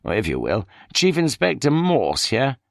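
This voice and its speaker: A man's voice: mid-range voice, huge pitch swings, measured pace, vocal fry, no room sound, studio quality, voice pushed up, full character voice. A man voicing a dryly ironic, irritable middle-aged chief inspector in an audiobook. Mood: curt, dry.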